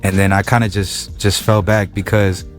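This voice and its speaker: A young man from Wilmington, California, a rapper chatting as a podcast guest, talking in halting bursts full of repeated words and restarts. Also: fairly low pitch, level tone, faint music behind. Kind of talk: casual interview chat.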